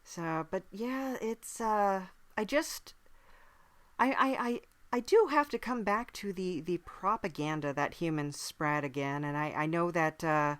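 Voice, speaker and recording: Lady as a nice approachable demon podcast host, lowish voice, hesitant and understated, dry close sound. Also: a little slow, a bit bright, dry recording. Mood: mild, thoughtful. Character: approachable, friendly marketer from hell, mild-mannered demon. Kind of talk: improvised comic interview in character.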